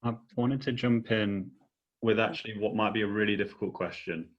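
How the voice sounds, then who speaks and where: A man's voice: lowish voice, steady tone, brisk. A man posing questions in a panel discussion, hesitantly and carefully searching for his wording. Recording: dull, lo-fi audio.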